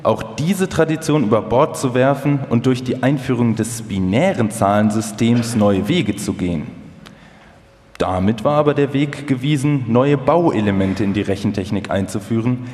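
A man's voice: medium pitch, expressive, normal speed, a little mellow, natural voice. Man acting a wry inventor in an echoey hall. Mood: resolute. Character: Konrad Zuse, wry, self-assured male engineer and inventor recalling his work. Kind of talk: dramatised quotations in a live documentary radio feature. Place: live stage performance in a hall. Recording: echoey hall, long reverb.

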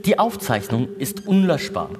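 Man voicing a formal space station computer, swooping pitch. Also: big pitch swings, moderate pace. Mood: formal, impassive. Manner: formal, official announcement diction. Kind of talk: scripted sci-fi radio play reading. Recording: long reverb, loud music behind.

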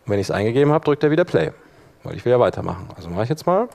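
A man's voice: medium pitch, very animated, racing, creaky, darkish tone. A man with a mid-range voice leads a hands-on workshop in front of a room. He speaks informally, like someone explaining his own workflow step by step. He thinks aloud as he demonstrates. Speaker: workshop presenter. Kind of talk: informal live software tutorial. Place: live talk in a conference room.

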